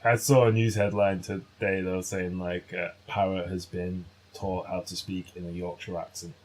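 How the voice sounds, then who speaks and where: A man's voice: low voice, little pitch movement, normal speed. A British man co-hosting a comedy podcast, telling rambling, sweary anecdotes in a casual way. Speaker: podcast co-host.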